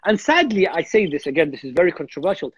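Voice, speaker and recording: A man's voice: on the high side, big pitch swings, quick pace, husky, dry recording, lo-fi sound. A man in his thirties from Iraq, a guest speaker in a religious discussion on a video call, earnest and repeating words as he thinks. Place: home room on a video call.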